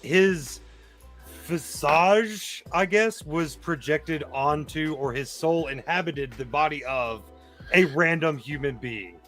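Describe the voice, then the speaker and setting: A man's voice: fairly high pitch, slow pace, a bit bright. A man chatting casually as a contestant in a group quiz game. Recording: music behind the voice. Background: music.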